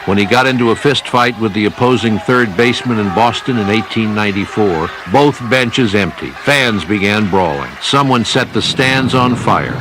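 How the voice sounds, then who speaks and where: A man's voice: mid-range voice, background noise. A man reading scripted documentary narration as a narrator. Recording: loud plucked guitar music underneath.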